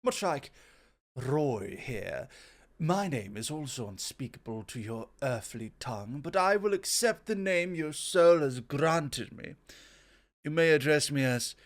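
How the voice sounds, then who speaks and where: A man playing a proud, courtly immortal god of innovation in an ASMR roleplay, with formal, gently teasing speech. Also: on the high side, animated, unhurried.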